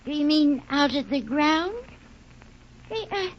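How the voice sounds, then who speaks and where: Small-town American housewife: high voice, dismissive, exasperated, 1950s radio play, dull sound. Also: female voice, animated, slow, slight background noise. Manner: fussy, dismissive. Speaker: actor. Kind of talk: 1950s radio drama acting. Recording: muffled 1950s radio recording.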